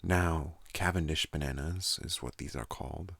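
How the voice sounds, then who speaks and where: A young man hosting an ASMR channel, speaking softly and calmly close to a studio microphone, slipping into whispers. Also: dry recording. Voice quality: deep gravelly soft voice. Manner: slow, calm, partly whispered. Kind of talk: soft-spoken ASMR talk.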